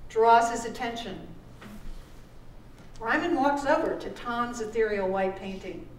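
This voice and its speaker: A woman author reading her own literary text aloud at a live event, with measured, deliberate phrasing. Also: on the high side. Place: live performance event in a room. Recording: roomy, distant microphone with background noise.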